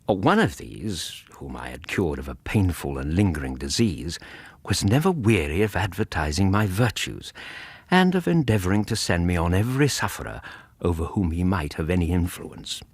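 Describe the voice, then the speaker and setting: An actor with an English accent playing a reflective Victorian doctor, narrating his memoir in a measured, literary way for radio drama. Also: low voice, breathy edge, no room sound, exaggerated voice. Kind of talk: scripted first-person radio drama narration.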